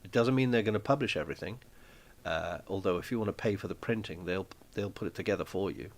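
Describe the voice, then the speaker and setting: Man, low-pitched, rambling live-stream chat, frequent ums and restarts, home setting. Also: quick pace. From Wales. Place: small flat at home.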